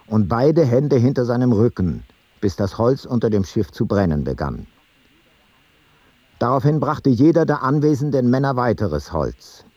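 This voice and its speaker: A grave chronicler of ancient voyages in a radio play. The voice is dark and husky, set close and dry, and the delivery stays measured and matter-of-fact. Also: male voice, medium pitch, dry recording, highs cut off. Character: grave male chronicler reading ancient travel logbooks. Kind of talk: literary radio play narration.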